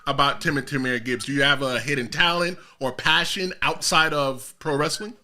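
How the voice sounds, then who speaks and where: Bright-voiced Jamaican American New York man. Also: on the high side, normal speed. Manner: energetic, teasing, prodding the guest. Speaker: podcast host. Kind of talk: casual interview.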